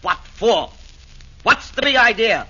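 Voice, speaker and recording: A high, sharp-toned Nero, haughty and petulant, muffled old radio sound. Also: male voice, big pitch swings, slow, breathy edge, full character voice. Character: vain fussy male Nero, fiddling in hell. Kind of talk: 1940s radio acting. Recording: dull old radio broadcast.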